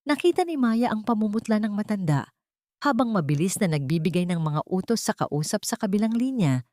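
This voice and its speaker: Female storyteller for scripted dramatic audio fiction. Lower register, close dry sound. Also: darkish tone, dry recording.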